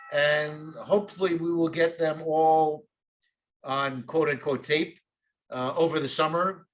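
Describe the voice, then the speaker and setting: Middle-aged new york guy, kinda high voice, rambles with lots of uhs and ums. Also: a bit bright, rough recording. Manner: rambling storyteller, many fillers. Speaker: co-host. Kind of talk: casual chat.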